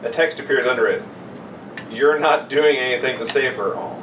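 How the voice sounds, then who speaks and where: A man co-hosts a casual podcast with crude, sarcastic humor, slipping between chatter and mock story reading. His voice sits at a middling pitch. The recording is roomy and distant, with clear noise behind him. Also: moderate pace. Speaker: podcast co-host. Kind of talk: casual joking chat and mock reading of an internet horror story. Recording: roomy, noisy, distant microphone.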